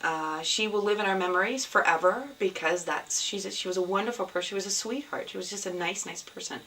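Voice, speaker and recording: A woman with a scratchy, hoarse voice from a cold, hosting a casual video podcast at home, rambling with many ums. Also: medium pitch, fast talker. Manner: rambling, many ums, soft and sad in places. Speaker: podcast host. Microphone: camera. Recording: somewhat distant mic, faint background noise.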